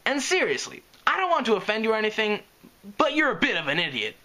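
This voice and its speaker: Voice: mid-range voice, wildly swooping pitch, fast talker, sharp tone, voice pushed up, full character voice. A reader voicing a cocky young pegasus filly who brags in a brash manner. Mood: boastful. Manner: boastful, brash.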